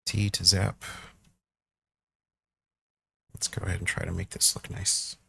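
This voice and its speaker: A man giving a casual software walkthrough, thinking aloud informally as he works through each step. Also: sits low, flat delivery, a little fast, vocal fry, breathy edge. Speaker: tutorial presenter.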